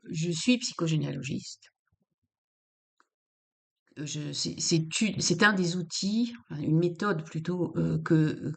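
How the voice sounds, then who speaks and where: A woman's voice: low-pitched, creaky. A woman from France, a psychopractitioner explaining psychology in an informal talk to camera, hesitant with many fillers and repeated words.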